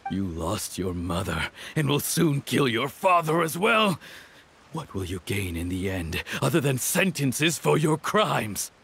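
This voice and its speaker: A man's voice: mid-range voice, sing-song, measured pace, gravelly, fairly bright tone, a little hiss or hum, full character voice. A man voicing a cruel, haughty middle-aged baron in an anime game, speaking in a pompous, mocking, theatrical way. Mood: bitter defeat.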